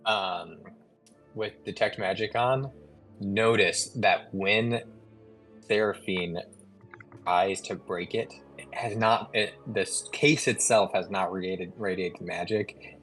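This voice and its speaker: A man with a fairly low voice acts as game master, improvising descriptions in a casual way. He speaks haltingly, searching for words, with faint music underneath. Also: steady tone, a bit bright, low-quality recording.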